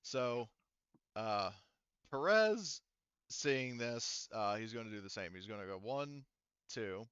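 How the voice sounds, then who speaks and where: A man's voice: mid-range voice, animated, slow, fairly bright tone, smooth, dry recording, muffled recording. A man working as game master, improvising narration and rulings for a tabletop roleplaying game in a casual, profane way.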